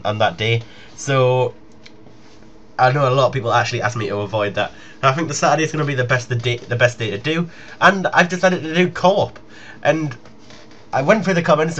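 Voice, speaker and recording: A man's voice: mid-range voice, fairly bright tone. Young man, muffled noisy recording. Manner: informal, rambling. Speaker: gaming YouTuber. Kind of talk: casual announcement to viewers. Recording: muffled lo-fi home recording with noise.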